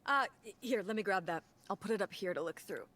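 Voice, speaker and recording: A woman's voice: mid-range voice, animated, fast talker, a bit bright. A woman playing a practical, dryly sceptical middle-aged mother in a naturalistic video game performance.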